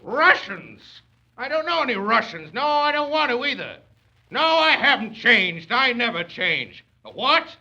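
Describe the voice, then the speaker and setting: A man's voice: very high voice, big pitch swings, unhurried, gravelly, bright, forceful tone, exaggerated voice. An actor playing a domineering, exasperated father in a blustery, emphatic 1930s radio comedy style. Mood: exasperated, blustering. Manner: blustery, sputtering, emphatic, old-fashioned mild cursing. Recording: muffled vintage 1930s radio recording.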